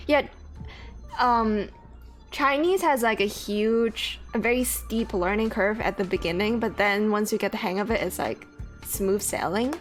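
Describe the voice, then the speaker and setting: A woman's voice: mid-range voice, measured pace. A woman whose first language is Cantonese, streaming a game in casual chat, swearing freely and laughing often. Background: faint game music.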